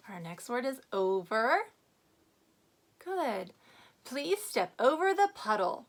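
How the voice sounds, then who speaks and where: A woman teacher giving a reading lesson to young children, prompting them with questions and reading aloud with expressive emphasis. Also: on the high side, takes it slowly. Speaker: first-grade teacher.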